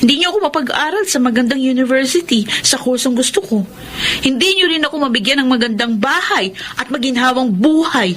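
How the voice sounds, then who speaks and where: An actress playing a headstrong, discontented young daughter in a Filipino radio drama. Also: female voice, sits high, expressive, a little fast, fairly bright tone, slight background noise. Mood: resentful.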